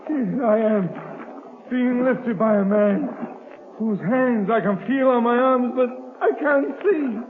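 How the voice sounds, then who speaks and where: A dying crook in a vintage radio drama, high strained voice, slow and pleading, tinny old broadcast. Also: male voice, slight background noise. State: weak and wounded. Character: dying, frightened male gangster, pleading. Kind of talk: 1940s radio acting.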